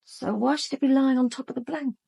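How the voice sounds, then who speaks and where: An actress playing a cool, analytical police detective in a radio crime drama, speaking in a clinical, matter-of-fact way. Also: female voice, high voice, steady tone, quick pace, creaky, warm tone, slightly rough, full character voice. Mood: clinical, reasoning.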